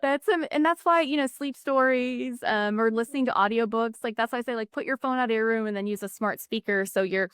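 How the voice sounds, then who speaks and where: A woman's voice: on the high side, brisk, few pauses, a bit bright, clean tone, no room sound. Woman podcast guest, explanatory, chatty, dry close sound. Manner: explanatory, frequent "like" fillers. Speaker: neuroscientist guest. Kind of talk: casual podcast conversation.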